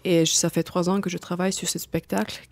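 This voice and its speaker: A woman's voice: lowish voice, flat delivery, fast talker, dry recording. A woman from Finland with a Finnish accent, a guest circus artist speaking hesitantly and searching for words in a studio podcast interview.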